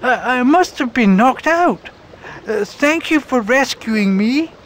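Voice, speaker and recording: A man voicing a small, excitable female robin in a read-aloud storybook, stammering and flustered. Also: very high-pitched, slow pace, a little hiss or hum, pitched above the performer's own voice, full character voice. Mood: excited. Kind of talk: storybook character voice.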